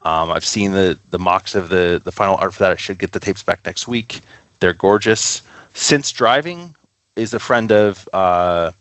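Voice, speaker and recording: A man's voice: low-pitched, moderate pace, a bit bright, dry recording. A man speaking casually as a podcast guest, rambling enthusiastically through stories about his work. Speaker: podcast guest, record label co-founder. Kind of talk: casual interview chat.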